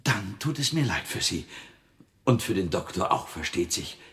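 A madman in an asylum, in a horror radio play. His voice is lowish and gravelly, swinging freely in pitch. He is curt and scornful, with an eerie mock courtesy. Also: big pitch swings, moderate pace, bright, forceful tone, full character voice. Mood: dryly probing. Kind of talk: German radio drama acting.

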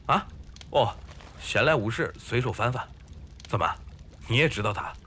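A man playing a gentle, earnest, bookish boatman in a Chinese period audio drama, easily flustered. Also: mid-range voice, normal speed, a little hiss or hum. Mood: casual. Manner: earnest, expressive. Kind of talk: Chinese audio drama acting, dialogue and monologue.

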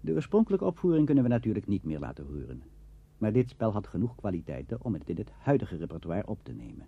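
A man's voice: fairly low pitch, sing-song, fast talker, gravelly, slightly rough. A man giving scripted documentary narration in a formal, lecturing manner. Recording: dull, muffled old recording.

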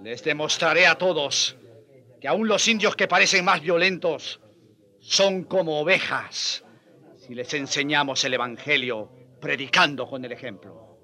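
An actor playing an earnest, defiant friar in a radio drama, preaching and pleading. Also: male voice, a little high, unhurried, sharp tone, heavily acted. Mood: defiant, resolute. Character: earnest, defiant Spanish friar defending the natives.

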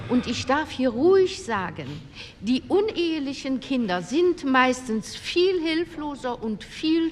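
A woman playing an earnest, firm conservative female politician in a radio drama, making a deliberate parliamentary speech. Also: high voice, a little hiss or hum.

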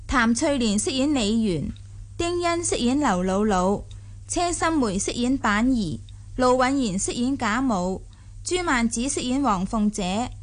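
A woman with a Hong Kong Cantonese accent reading radio drama credits in a formal, measured announcer style. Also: fairly high pitch.

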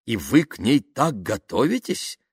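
A man voicing an eager, touchy woman who dreams of acting, in a gushing, expressive storybook manner. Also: mid-range voice, unhurried, husky, dry recording, studio quality, pitched above the performer's own voice, exaggerated voice. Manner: gushing and expressive, easily offended.

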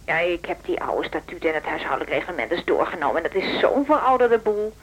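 An actress playing a brisk, scheming younger woman activist in a Dutch radio drama, chatty and insistent. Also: lowish voice, big pitch swings, slightly rough, low-quality recording. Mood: breezy, persuasive. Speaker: actor in radio play.

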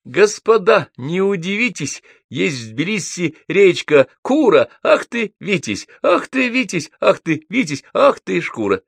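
A man voicing a drunken male poet who jabbers squeakily and recites eagerly. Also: big pitch swings, unhurried, vocal fry, few pauses, slightly rough, dry recording, clean studio sound, heavily acted. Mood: tipsy, eager. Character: sloppy dead-drunk male poet reciting silly epigrams.

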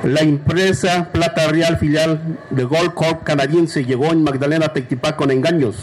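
A Mexican man from Oaxaca speaks to a forum crowd, measured and unhurried, breaking his thoughts into short phrases. His voice sits a little high for a man. Traffic and open-air noise run clearly underneath. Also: fairly even intonation, rough recording. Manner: slow, deliberate, short phrases with pauses. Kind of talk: public speech.

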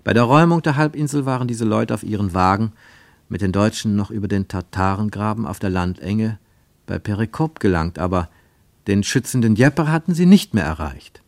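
A man narrating a radio drama in a measured, deliberate storytelling manner, recorded in a studio. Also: fairly low pitch, darkish tone, dry recording. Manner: measured, deliberate storytelling with slowed emphatic phrases.

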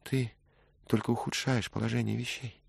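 A man's voice: medium pitch, little pitch movement, moderate pace, creaky, breathy edge, no room sound, pitched above the performer's own voice. A man voicing a brave young woman in disguise, pleading and subdued, in an audiobook. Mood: sad.

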